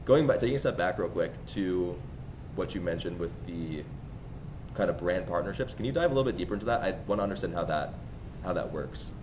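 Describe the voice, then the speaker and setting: A man in his twenties hosting a podcast in a casual chat, with hesitant restarts as he asks questions. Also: fairly quick. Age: 20s. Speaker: podcast host. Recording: steady background rumble.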